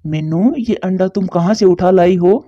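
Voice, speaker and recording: Cartoon pigeon friend, voiced by a man: very mellow, dull dry recording, protective, sensible, earnest. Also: high-pitched, moderate pace, no echo. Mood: calm, caring. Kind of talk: Hindi cartoon story dialogue.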